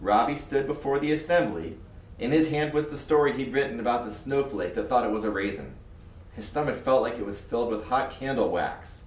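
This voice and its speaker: A man reading a children's story aloud in an expressive, scripted narrating style. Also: medium pitch, brisk. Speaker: storybook reader. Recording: roomy echo, far from mic.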